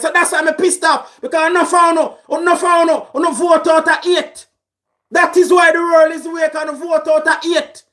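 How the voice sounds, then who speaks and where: A man with a Jamaican accent, shouting through an angry political rant. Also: very high voice. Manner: shouting, raging.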